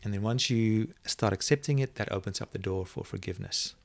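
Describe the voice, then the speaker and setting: Low-voiced guy, afrikaans first language, thoughtful, keeps restarting sentences, dry close sound. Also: fairly quick, gravelly, no room sound. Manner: thoughtful, searching for words, many restarts. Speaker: interview guest. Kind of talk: reflective personal storytelling.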